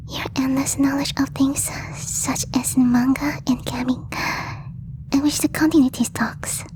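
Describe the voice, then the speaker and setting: A woman voicing a possessive, lovestruck alien girl in a breathy whisper, with slow, stiffly formal phrasing for an ASMR roleplay. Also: high voice, a little mellow. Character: possessive lovestruck alien girl, stilted speech, shyly obsessive.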